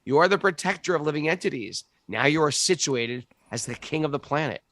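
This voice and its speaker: A man reading aloud the lines of a frightened earth goddess in cow form, in a plain, earnest voice with a gravelly edge. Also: fairly high pitch, expressive, fairly quick, a bit bright, no room sound. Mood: pleading.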